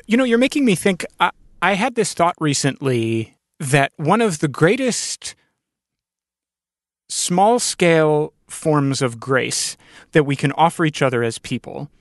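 A man hosting a reflective interview, thinking aloud in thoughtful, halting phrases. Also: fairly high pitch, no room sound, studio quality. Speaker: podcast host and interviewer.

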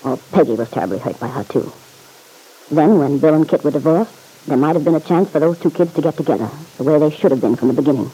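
Stern, insistent man, husky and mellow. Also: fairly high pitch, lively intonation, quick pace, poor audio. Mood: urging. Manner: blunt, slangy, accusing. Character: stern, insistent man pressing a woman for the truth. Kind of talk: old-time radio serial acting.

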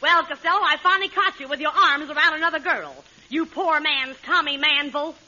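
A scornful young girlfriend in vintage radio comedy. Her very high voice delivers biting, scolding wisecracks over a muffled, hissy old recording. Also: fairly quick, bright, forceful tone. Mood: jealous, sarcastic. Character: sharp-tongued, jealous, scornful young girlfriend, twenty-five. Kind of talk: 1940s radio comedy acting.

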